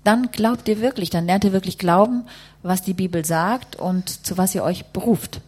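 A woman's voice: medium pitch, moderate pace, darkish tone, breathy edge. A woman working as a live interpreter, rendering a testimony phrase by phrase and rewording as she goes.

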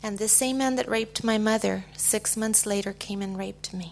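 A middle-aged woman with an American accent giving a personal testimony in short phrases, pausing for an interpreter. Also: mid-range voice, steady tone, gravelly, a little hiss or hum. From United States. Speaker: guest speaker giving testimony.

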